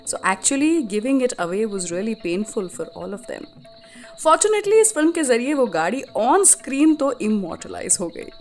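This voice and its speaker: An Indian woman hosting a radio show, telling stories in an expressive, scripted way and switching between Hindi and English. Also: a little high, takes it slowly, soft background music. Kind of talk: scripted radio storytelling about classic film music.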